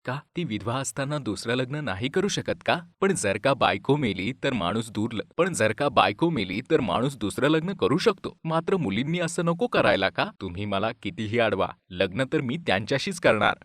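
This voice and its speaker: A man playing an earnest, stubborn young suitor in an animated story. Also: medium pitch, normal speed, hardly any pauses, no room sound, clean studio sound. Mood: defiant.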